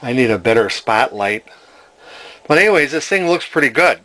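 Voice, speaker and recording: Bright-voiced man thinking aloud. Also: mid-range voice, expressive, normal speed, a little hiss or hum, rough recording. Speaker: hobbyist radio restorer presenting a repair video. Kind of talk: casual hands-on demonstration commentary.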